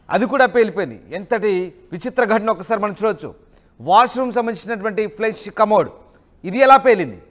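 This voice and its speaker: High-pitched male news presenter. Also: fairly bright tone. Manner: emphatic, repeats phrases and asks rhetorical questions. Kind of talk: news narration with live commentary over footage.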